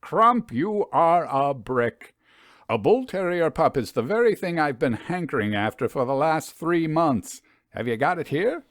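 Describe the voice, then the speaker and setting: A man voicing a genial gentleman in an old story, with keen, confiding, old-fashioned speech. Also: fairly high pitch, sing-song, measured pace, dry recording, clean studio sound, pitched above the performer's own voice. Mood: jaunty, keen.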